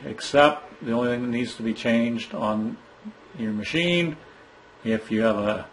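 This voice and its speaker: A man of ordinary pitch talks through an equipment setup menu, one step at a time. His delivery is plain and instructive, with a few restarts. Faint background noise sits behind his voice. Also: poor audio. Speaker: product trainer. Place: indoor room, filming a monitor screen.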